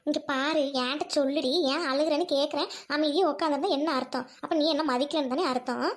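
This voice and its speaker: A woman's voice: high-pitched, steady tone, fast. A woman playing a hot-tempered, caring older village woman in a drama, scolding and questioning in colloquial speech. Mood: insistent. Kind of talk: village comedy-drama acting.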